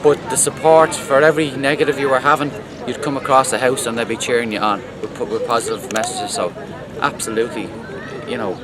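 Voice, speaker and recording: A man's voice: medium pitch, fairly even intonation, a little fast, few pauses. An Irish man, a race participant, giving enthusiastic, repetitive praise in a street interview. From Ireland. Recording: loud crowd behind.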